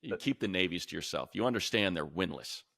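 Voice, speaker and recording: A man's voice: low-pitched, expressive, quick pace, creaky, background noise. An American man co-hosting a sports show with casual, opinionated commentary, speaking emphatically and repeating phrases for effect. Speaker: sports podcast co-host and commentator.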